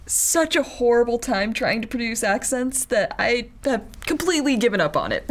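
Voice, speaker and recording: A woman's voice: on the high side, expressive, moderate pace. A woman chatting as a tabletop game player, giggly and self-deprecating. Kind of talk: casual tabletop game chat.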